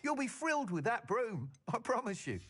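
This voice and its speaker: An actor playing an enthusiastic broom seller in a video game, eager and persuasive. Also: male voice, very high voice, big pitch swings, normal speed, fairly bright tone, a little hiss or hum, heavily acted. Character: enthusiastic, salesmanlike male broom shop owner.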